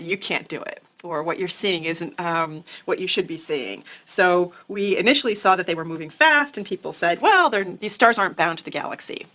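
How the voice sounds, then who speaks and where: A woman's voice: on the low side, pushed and bright. A woman speaking unscripted as an interviewed scientist, explaining and recalling her research. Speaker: astronomer interviewed as expert.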